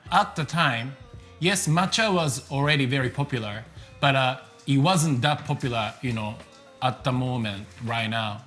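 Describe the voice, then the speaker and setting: A man with a Japanese accent, a tea business owner casually introducing himself with lots of fillers. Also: mid-range voice. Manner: relaxed, many fillers.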